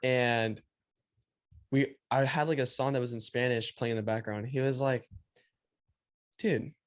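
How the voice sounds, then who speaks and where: Young male host, non-native English, easygoing and rambling, mid-pitched, studio mic at home. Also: unhurried. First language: not English. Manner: relaxed, rambling, many "like" fillers. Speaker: podcast host. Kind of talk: casual interview chat. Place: small room at home. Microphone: studio mic on stand, video call.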